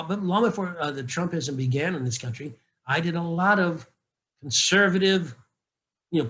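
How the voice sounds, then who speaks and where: A man with a bright, forward voice pitched a little high, talking loosely and candidly. He jokes, doubles back on his words and restarts sentences often, like a coach chatting with peers. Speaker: narration coach. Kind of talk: casual panel talk.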